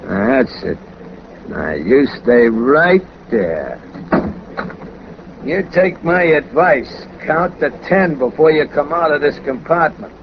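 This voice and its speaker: A man's voice: a little high, very animated, takes it slowly, heavily acted. An actor playing a lonely, businesslike hired killer in 1940s radio crime drama, talking in casual, ungrammatical tough-guy fashion with matter-of-fact menace. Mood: calm, warning. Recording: dull, noisy old 1940s radio recording.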